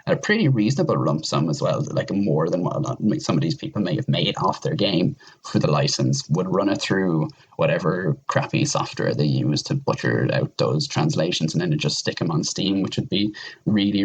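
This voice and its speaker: Low-pitched husky man chatting casually. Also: moderate pace, low-quality recording. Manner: rambling, emphatic, opinionated, mild swearing. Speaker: podcast panelist. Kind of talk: casual discussion.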